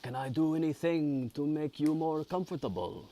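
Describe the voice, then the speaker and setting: A man voicing a courteous, sympathetic French gentleman from a gothic novel, with a put-on French accent and formal phrasing. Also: fairly high pitch, lively intonation, moderate pace, voice pushed up, natural voice. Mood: gentle concern.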